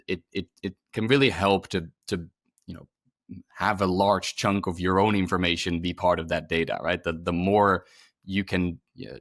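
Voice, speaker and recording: A man's voice: low-pitched, fairly bright tone, no echo, clean studio sound. A man giving informal advice as a webinar panelist, hesitant and repeating words as he searches for the next one.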